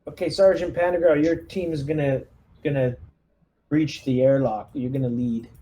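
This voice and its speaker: A man's voice: a little high, steady tone, moderate pace, mellow, a little hiss or hum, low-quality recording. A man chatting casually as a player in a tabletop roleplaying game, taking charge and organizing the group over an online voice call. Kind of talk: casual game-session chat.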